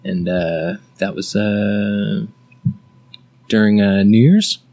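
Low gravelly young man, halting chat. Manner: relaxed, halting with many fillers. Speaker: interview guest. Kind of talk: casual chat.

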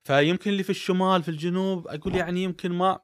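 Male host, relaxed, affirming, slightly high voice, dry close mic. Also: rapid-fire, clear, resonant tone, dry recording. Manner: relaxed, affirming, asks leading questions. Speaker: podcast host. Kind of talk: casual interview talk.

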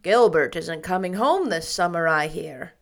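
Stern woman of sixty, brisk, clipped, emphatic, lower-pitched, voiced by a woman reader. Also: animated. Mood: probing.

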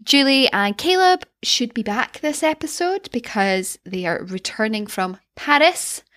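A woman's voice: a little high, animated, measured pace, fairly bright tone. A woman co-hosting a casual TV rewatch podcast, chatty and playful as she recaps episodes. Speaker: podcast co-host.

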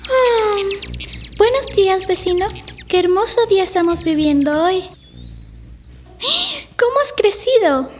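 A woman's voice: very high voice, lively intonation, measured pace, long pauses, faint music behind. A woman playing a cheerful, sociable mother hummingbird in a school radio play. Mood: admiring.

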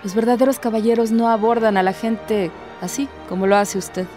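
An actress playing a devout young maiden in a radio drama, proud and defiant, with formal, dignified speech. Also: female voice, fairly high pitch, fairly even intonation, brisk, warm tone, lightly acted. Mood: haughty, dismissive. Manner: formal, dignified, firm retorts. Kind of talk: theatrical radio drama acting. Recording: music behind the voice.

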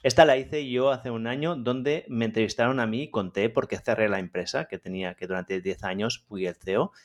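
Un hombre de Cataluña con leve acento catalán, invitado en un podcast, que habla de forma relajada, cercana y autocrítica. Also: male voice, medium pitch, fairly quick. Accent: Catalan-accented Spanish. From Catalonia, Spain. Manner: relaxed, friendly, self-critical. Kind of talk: casual interview conversation.

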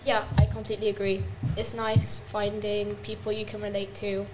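A woman with a raspy voice chimes in on a casual podcast chat. She speaks at a middling pitch and mostly agrees in short turns. There is a faint hiss behind her. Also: completely flat delivery, moderate pace, a little mellow, rough recording.

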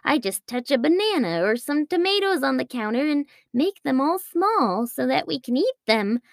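A woman's voice: high-pitched, sing-song, normal speed, vocal fry, no echo, studio quality, voice pushed up, exaggerated voice. A woman voicing a small, anxious, apologetic male toy man in a children's story, with a fretful, pleading manner. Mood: worried, explaining.

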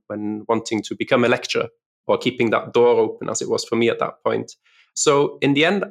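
A man from Austria talks through his career as a podcast guest, relaxed and chatty, with casual fillers. His voice is gravelly with some fry and sits in a middle pitch. He is easy-going and conversational. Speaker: guest lecturer. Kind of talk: podcast interview.

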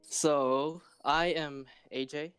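A man's voice: on the high side, steady tone, very slow, fairly bright tone, clean tone, soft background music. A man in his twenties co-hosting a casual podcast chat, talking in laid-back slang. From Philippines. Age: 20s. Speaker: podcast co-host.

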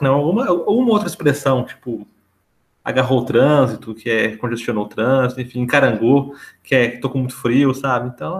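A man with a São Paulo accent hosting a weekly podcast chat, talking loosely with filler tags. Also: mid-range voice. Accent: São Paulo Portuguese. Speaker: podcast host.

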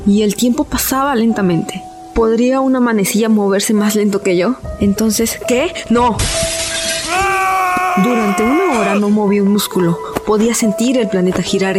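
A woman's voice: fairly high pitch, close to an everyday voice. A woman playing an obsessive, unhinged murderess. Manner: brooding, repetitive, slow and dramatic. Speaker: narrator and protagonist. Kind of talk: radio drama acting. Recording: voice over loud music.

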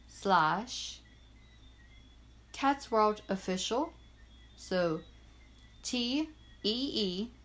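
A young woman from Bermuda hosting her own small podcast, chatting in an upbeat, self-deprecating way and spelling things out slowly. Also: medium pitch, fairly bright tone. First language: Portuguese and English bilingual. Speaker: podcast host. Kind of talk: casual solo podcast chat. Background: frogs and crickets at night.

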